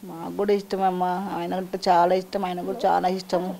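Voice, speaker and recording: A woman's voice: fairly low pitch, little pitch movement, a little fast, vocal fry, a crowd in the background. A woman answering interview questions plainly and gratefully. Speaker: bereaved wife being interviewed.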